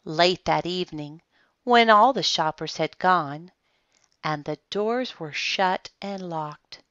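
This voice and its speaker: A woman reading a children's story aloud in an expressive way, with dramatic emphasis. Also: lowish voice, takes it slowly, no room sound, highs cut off. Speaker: storybook reader. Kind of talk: children's read-aloud narration.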